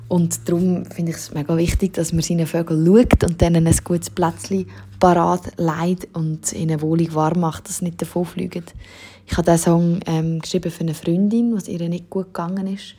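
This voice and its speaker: Swiss German singer, young woman, low mellow husky voice, chatty and loose with fillers. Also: level tone, moderate pace. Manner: casual, many fillers and "wie". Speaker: singer-songwriter. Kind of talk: interview answers.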